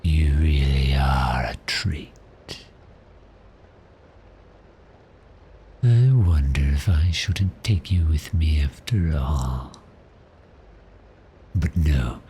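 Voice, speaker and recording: A man voicing a suave, teasing vampire in an ASMR roleplay, speaking in a breathy, seductive half-whisper with polite menace. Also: very deep voice, darkish tone, slight background noise, exaggerated voice. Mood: seductive, savoring. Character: cheerful predatory male vampire, suave and teasing. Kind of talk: ASMR roleplay, slow intimate monologue.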